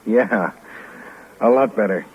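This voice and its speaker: A man's voice: fairly low pitch, takes it slowly, husky. A man playing a weary, dogged American police sergeant in a 1950s radio crime drama, speaking in terse, plain lines. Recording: muffled, hissy old 1950s radio recording.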